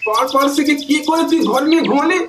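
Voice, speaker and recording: A performer voicing a cantankerous, foul-mouthed older village father in a comic cartoon, scolding and bossy, with a pitched-up effect. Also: fairly quick. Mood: indignant. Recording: echoey, noisy cartoon soundtrack.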